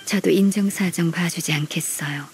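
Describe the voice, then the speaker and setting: A woman voicing a polite, dutiful young secretary in an anime-style game, formal, measured and firm. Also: on the low side, a little hiss or hum, natural voice. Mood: stern, interrogating.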